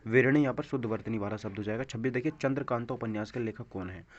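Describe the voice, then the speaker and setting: Male coaching instructor for quiz walkthroughs, repetitive question-and-answer delivery, dull low-fidelity recording. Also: rapid-fire, slight background noise. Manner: repeats answers, poses rhetorical check questions. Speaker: exam coaching teacher. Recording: dull, lo-fi microphone sound.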